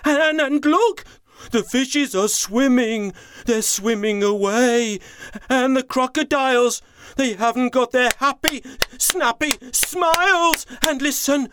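A woman voicing an excited, bossy male chief elephant in a children's story, loud and exclaiming. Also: a little high, slow, background noise, voice pushed up. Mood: alarmed.

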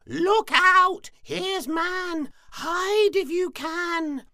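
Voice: very high voice, extremely slow, pushed and bright, no echo, clean studio sound, pitched above the performer's own voice, exaggerated voice. A performer voicing a frantic jay bird, squawking out an alarm in a storybook tale.

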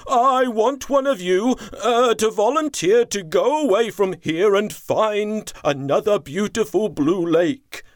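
A woman voicing an excited, bossy male chief elephant in a children's story, loud and exclaiming. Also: medium pitch, slow, hardly any pauses, no echo, pitched above the performer's own voice, natural voice. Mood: commanding.

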